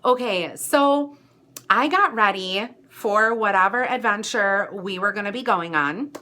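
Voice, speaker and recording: An American woman chats to her viewers as a vlogger telling a story from her own life. Her voice is bright and punchy. She is animated and casual, leaning into dramatic emphasis as she relays who said what. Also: medium pitch, a little slow. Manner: animated, chatty, dramatic emphasis with acted-out quotes. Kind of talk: casual personal storytelling to camera.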